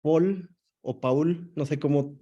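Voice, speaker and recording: Mellow-voiced man running a video call. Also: fairly high pitch, dull audio. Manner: brisk, short prompts. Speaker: moderator. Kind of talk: calling on participants in a video call.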